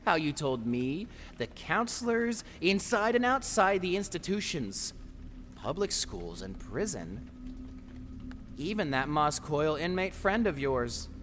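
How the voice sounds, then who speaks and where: A sinister presence haunting someone's head , acted by a man, swooping widely in pitch through long reverb. It needles and mocks theatrically, in audio drama fashion, with a bright, sharp edge. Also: sing-song, slow pace, exaggerated voice. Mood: cruel taunting. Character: taunting, sinister voice inside a tormented man's mind. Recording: long reverb, faint background noise.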